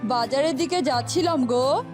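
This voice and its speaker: A woman's voice: high-pitched, moderate pace, music in the background, close to an everyday voice. A woman voicing a cheerful married male bird customer in a cartoon, breezy and familiar. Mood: cheerful, hurried.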